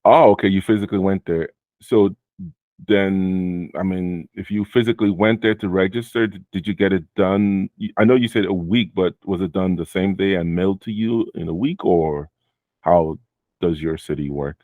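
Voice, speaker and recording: A man hosting a casual interview with one of his students, relaxed and full of fillers, often repeating the guest's answers back. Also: deep voice, moderate pace, dry recording. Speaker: host and Amazon seller mentor.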